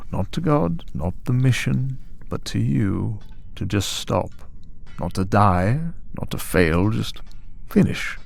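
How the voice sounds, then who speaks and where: A man playing a smug, tempting servant of a dark master in an ASMR audio drama, speaking in a deliberate, taunting, persuasive way. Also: on the low side, creaky. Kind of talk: ASMR audio roleplay acting.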